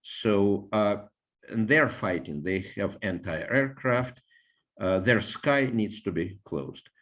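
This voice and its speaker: An older man with a Russian accent, a biologist answering interview questions in a measured way, with frequent fillers and restarts. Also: fairly low pitch, fairly bright tone. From Soviet Union, Russia (Siberia) and Ukraine.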